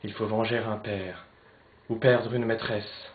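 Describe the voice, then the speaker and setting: A man playing an anguished young Spanish nobleman torn between love and honour, declaiming classical French verse theatrically, swinging between hushed and loud. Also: on the low side, level tone, a little slow, lightly acted. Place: poetry club, live recitation. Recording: roomy, slightly dull live recording, noisy.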